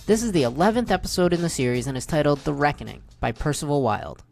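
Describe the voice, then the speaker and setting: A woman's voice: low-pitched, animated, fast talker, vocal fry, a little mellow, soft background music. A woman reading a scripted introduction as a podcast host.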